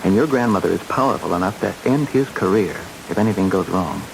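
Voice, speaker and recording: An actor playing an earnest, hesitant junior doctor in a radio drama, plain-spoken and frank. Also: male voice, low-pitched, animated, fast, gravelly. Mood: earnest, uneasy. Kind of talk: old-time radio drama acting. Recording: hissy old radio broadcast recording.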